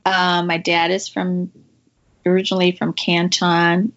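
A low-voiced, middle-aged woman from China with an American accent talks as an interview guest, measured and hesitant, with plenty of fillers. The audio is dull and lo-fi. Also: monotone. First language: Mandarin. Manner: thoughtful, hesitant, with fillers and laughs. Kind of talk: casual interview.

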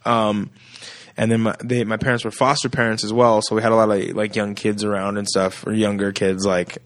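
Man, gravelly voice, dry close sound, animated storyteller, musician guest, casual chat. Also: on the low side, fairly quick, no room sound. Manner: animated storyteller who acts out quoted voices.

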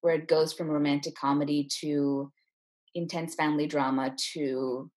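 A woman with a husky voice talking casually and enthusiastically as an interview guest, a writer explaining her work. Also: low voice, little pitch movement, normal speed, a little mellow. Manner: enthusiastic, effusive. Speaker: playwright and screenwriter, interview guest.

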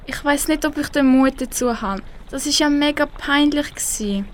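A woman's voice: high voice, normal speed, natural voice. A teenage girl with a Swiss German accent voicing a proud, sulky rainbow fish in an amateur school radio play. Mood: remorseful. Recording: clear background noise.

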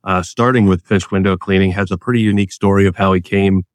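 Male co-host, lowish voice, dry sound, relaxed interviewer. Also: quick pace, dry recording. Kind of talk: casual interview chat.

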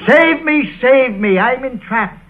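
A man playing a befuddled comic storyteller in an old radio show, halting and pompous, with flowery phrasing and repetition. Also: very high voice, lively intonation, full character voice. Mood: absurdly solemn. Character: befuddled, hesitant comic storyteller with absurd logic. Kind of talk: old-time radio comedy patter. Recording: noisy, tinny old-time radio recording.

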